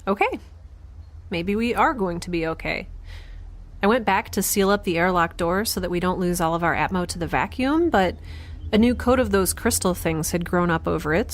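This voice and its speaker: A woman's voice: fairly low pitch, close to an everyday voice. A woman playing a frazzled, wry young scientist in space, rambling and correcting herself as she talks in an audio drama. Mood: tired, matter-of-fact. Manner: halting, rambling, self-correcting. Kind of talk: audio drama, conversational log-diary monologue and dialogue.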